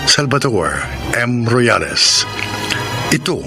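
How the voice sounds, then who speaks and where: A man's voice: mid-range voice, big pitch swings, slow. A man announcing and narrating a radio drama in a scripted, dramatic style. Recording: music clearly behind the voice.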